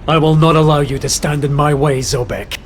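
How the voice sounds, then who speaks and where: An actor playing an anguished, defiant warrior knight with intense, emotional delivery in a dramatic video game. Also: male voice, a little high, steady tone, fairly quick, slight background noise, natural voice.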